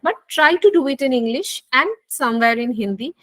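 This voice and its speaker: Quick-talking woman. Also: sits high, expressive, fairly bright tone. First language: Bengali. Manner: switches between English and Hindi, many fillers. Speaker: nutrition instructor hosting an online class. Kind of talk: online class briefing. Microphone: video call.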